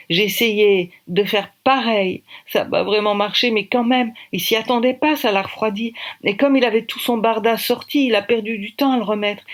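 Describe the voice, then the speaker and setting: A woman's voice: medium pitch, pitched above the performer's own voice, lightly acted. A woman reading aloud, voicing a frightened young woman who is shaken but spirited.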